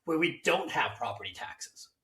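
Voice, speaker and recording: A man hosts a casual interview and shares his views at length. His voice is bright and forceful. The room around him is echoey. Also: on the high side, very animated, moderate pace, distant mic. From Hungary. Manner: opinionated, talks at length. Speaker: host.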